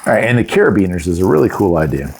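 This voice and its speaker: A man's voice: low-pitched, big pitch swings, racing, creaky, darkish tone, slight background noise. A middle-aged man giving a casual, unscripted product review in a folksy, chatty, plain-spoken way. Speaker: host of a product review channel.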